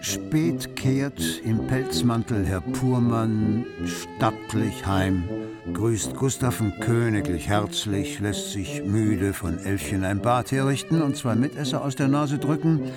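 An actor playing a wry literary narrator, telling the story in measured, scripted radio play style. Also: male voice, on the low side, loud music behind the voice. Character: literary narrator, wry observer of bourgeois households.

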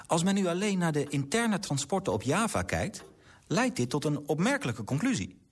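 Radio play role: earnest, politely persistent male clerk, formal wording, highly varied melody, rough-edged tone. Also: very animated, normal speed. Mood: indignant, persuasive. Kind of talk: radio drama acting.

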